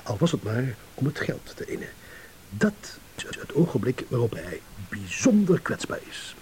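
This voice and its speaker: An actor playing a smug, shrewd, eloquent gentleman in a radio drama, with mock courtesy. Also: medium pitch, sing-song, normal speed, whispered, lightly acted. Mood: deliberate, reasoning. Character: smug, shrewd gentleman savouring a battle of wits. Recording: hissy old radio play recording.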